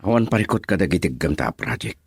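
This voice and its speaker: Obsessive young suitor for radio drama, male actor, lowish voice, highly expressive swings, jealous and lovesick. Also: quick pace, gravelly, breathy edge, no room sound, studio quality, full character voice. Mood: brooding. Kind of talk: Ilocano radio drama acting.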